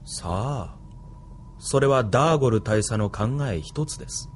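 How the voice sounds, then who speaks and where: A man plays a military officer in a Japanese radio play, coldly polite and smug. His mid-pitched delivery stays controlled and mocking, over a faint bed of noise. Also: lively intonation, unhurried, lightly acted. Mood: coolly threatening. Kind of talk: Japanese audio drama acting.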